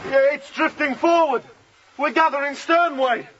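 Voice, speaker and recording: An actor playing a doubtful, questioning ship's officer on a sailing ship in a radio drama. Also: male voice, very high voice, a little slow, fairly bright tone. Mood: tense, excited. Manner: questioning, deferential but challenging. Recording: muffled lo-fi radio drama, clear noise.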